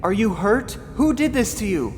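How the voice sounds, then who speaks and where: A voice actor playing Silver Lining, a loyal pony, asking urgent anxious questions in a fan radio drama. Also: male voice, high voice, big pitch swings, moderate pace, slight background noise. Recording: dreamy long reverb with music.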